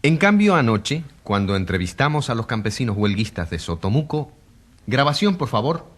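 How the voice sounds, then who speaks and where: A man playing a grave radio reporter in a drama, narrating with a husky voice and declamatory, rhetorical delivery. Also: mid-range voice, sing-song, normal speed, a little hiss or hum, natural voice. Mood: grave, dramatic. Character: grave male radio reporter covering a controversial trial.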